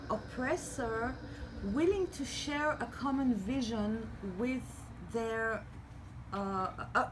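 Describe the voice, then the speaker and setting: Slow, halting woman with a raised pitch. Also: slight background noise, rough recording. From Iran and Israel. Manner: slow, halting, many stutters and restarts. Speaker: panel speaker. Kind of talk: public talk at an event. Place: small restaurant venue.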